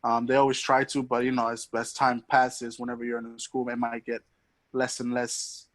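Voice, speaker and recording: A young man from Mexico, a podcast guest, talking in a casual, hesitant way with many pauses and restarts. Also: mid-range voice, monotone. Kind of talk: casual conversation.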